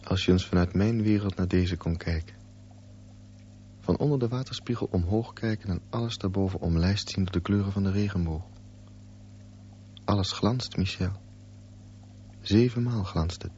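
Dull-sounding, low-voiced, withdrawn young man, played by an actor. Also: moderate pace, long pauses, darkish tone, lightly acted. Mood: yearning, intense. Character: withdrawn young man obsessed with fish and undersea worlds. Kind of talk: radio drama acting, poetic monologue. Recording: dull, lo-fi radio play with faint hum.

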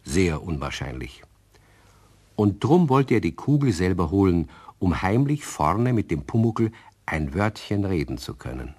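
A man playing the narrator of a children's radio play, telling the scripted story in a husky voice. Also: deep voice, very animated, measured pace, darkish tone, full character voice. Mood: wry.

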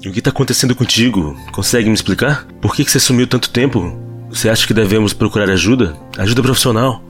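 A man's voice: lowish voice, fast, soft background music, lightly acted. A man playing a worried, loving boyfriend in audio drama dialogue, speaking in an earnest, urgent way. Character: worried, loving boyfriend pleading with his girlfriend.

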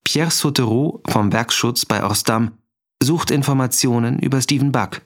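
A man's voice: medium pitch, normal speed. A man announcing scene headings as a factual narrator in a German radio drama. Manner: factual, dateline-like.

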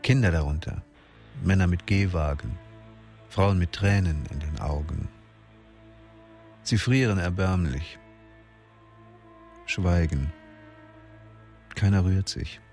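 A man's voice: deep voice, slow pace, long pauses, darkish tone, faint music behind. A man narrating a radio drama in short, sparse, sombre sentences. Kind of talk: scripted present-tense narration.

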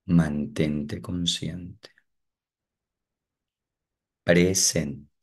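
Man, guided meditation, very deep voice, extremely slow, calm pauses. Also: breathy edge.

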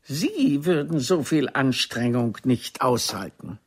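A proud aging theatre diva in a radio play, speaking slowly and deliberately in a husky voice. Her pitch sweeps widely as she delivers each haughty, cutting phrase. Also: animated, heavily acted. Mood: haughty, boastful. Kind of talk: German radio drama acting.

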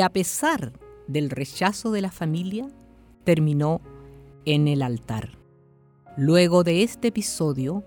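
A woman's voice: on the low side, dark tone. A woman narrating a radio drama in a scripted storytelling style. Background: music.

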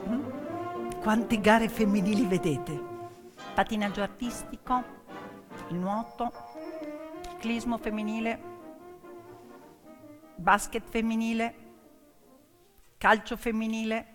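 A woman performing a dramatic theatrical storytelling monologue, emphatic and moving between hushed and declaimed delivery. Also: fairly high pitch, steady tone, slow pace, long pauses. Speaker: stage actress and storyteller. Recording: long reverberant hall with music. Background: piano music.

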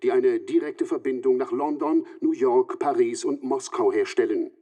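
An actor playing a sober radio news reporter in a radio play, measured and factual. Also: male voice, medium pitch, mellow, dry recording, close to an everyday voice.

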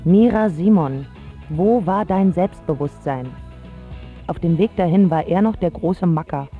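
A spoiled teenage girl played by a woman tells her love story in a low voice, wry and confiding, with deliberate pauses. The radio drama sound is thin and phone-like, with music underneath. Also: lightly acted. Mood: self-mocking, smitten. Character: spoiled rich teenage girl, smitten, sharp-tongued about boys. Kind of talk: first-person radio drama narration.

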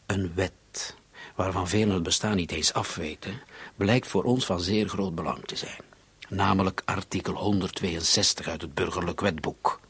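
Deep husky troubled, defensive man. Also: normal speed, fairly bright tone, no echo, full character voice. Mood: tense, serious. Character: troubled, guilt-ridden man under police suspicion. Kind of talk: radio drama acting.

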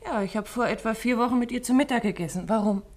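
A woman's voice: on the high side, fast talker, darkish tone, lightly acted. A woman playing a detective's poised, lightly teasing wife in a 1950s German radio drama. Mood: curious, teasing. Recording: faintly noisy old radio recording.

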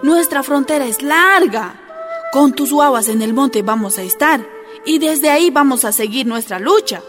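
A pleading sister. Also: female voice, high-pitched, expressive, normal speed, fairly bright tone, faint music behind. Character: sister, rural union leader, urging return.

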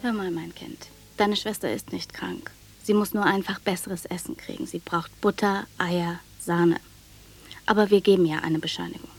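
An actress playing a brisk, official woman doctor in a radio drama, questioning and dismissive with authority. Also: fairly low pitch, steady tone, gravelly, faint music behind. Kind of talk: German radio drama acting.

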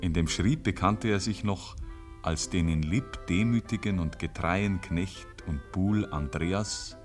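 A man's voice: sits low, unhurried, faint music behind. A man with a Bavarian accent narrating a rural tale in a literary radio play. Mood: measured, grave. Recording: 1950s radio recording.

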